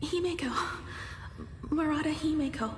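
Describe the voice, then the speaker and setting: A woman acting a frail, high-voiced young woman inventor. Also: normal speed, over music, natural voice. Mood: flustered, awed. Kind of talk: anime-style game voice acting.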